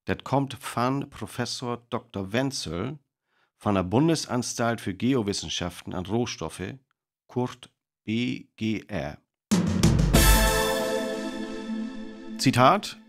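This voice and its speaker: Earnest male radio reporter for a radio play: North Frisian accent, mid-pitched, formal and deliberate live announcing. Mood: serious, formal.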